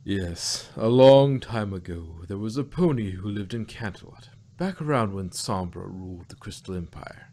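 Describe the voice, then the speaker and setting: A solemn, ancient pony queen acted by a man in a low register. She tells her tale in a measured, confiding way, as in a storybook fan-fiction reading. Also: expressive, dark tone. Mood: solemn storytelling.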